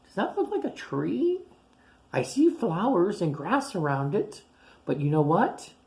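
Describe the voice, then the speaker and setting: Animated woman reading to kids. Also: fairly low pitch, a little slow. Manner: animated, gasping and exclaiming. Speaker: storyteller. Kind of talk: reading a picture book aloud to children.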